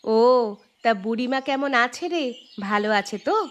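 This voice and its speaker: A woman voicing a caring but mildly strict village schoolteacher in a cartoon, questioning and gently chiding. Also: fairly high pitch, normal speed, a little hiss or hum, natural voice. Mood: concerned, chiding. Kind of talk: Bengali cartoon dubbing.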